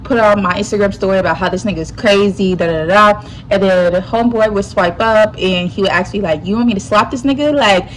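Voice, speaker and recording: A young woman with a slightly stuffy nose, telling a personal story in a casual, animated way full of slang. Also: medium pitch, quick pace, few pauses, slight background noise, low-quality recording. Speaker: YouTuber.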